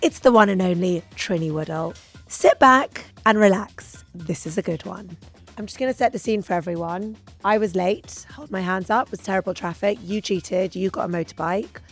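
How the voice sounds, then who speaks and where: Woman, podcast host, losing her voice, gravelly fry, faint music behind. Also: medium pitch, normal speed. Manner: bubbly, teasing. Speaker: podcast host, beauty director. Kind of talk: casual chat.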